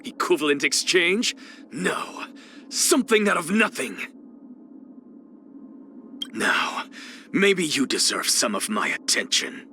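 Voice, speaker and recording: A man's voice: fairly high pitch, sing-song, takes it slowly, pauses often, sharp tone, slight background noise, exaggerated voice. A man playing an arrogant, eccentric scholar and teacher in a video game, with a haughty, theatrical, lecturing manner. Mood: theatrical, taunting.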